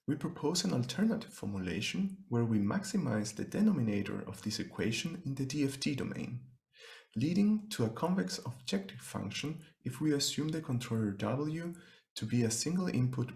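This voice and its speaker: A man giving a scripted technical presentation as a researcher, speaking formally and in measured, step-by-step phrases. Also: medium pitch, vocal fry, a little mellow, echoey room.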